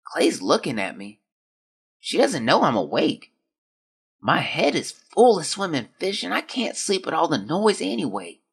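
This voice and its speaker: An actor as a husky, sick young child. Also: mid-range voice, fairly bright tone, full character voice. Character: sick, frightened young child writing a diary in 1914. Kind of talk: dramatic diary monologue.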